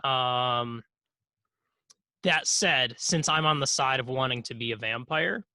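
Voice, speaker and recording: A man's voice: medium pitch, takes it slowly, bright, forceful tone, no echo. A man co-hosting a podcast in casual comedic chat, rambling and hesitant, with dry jokes. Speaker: podcast co-host.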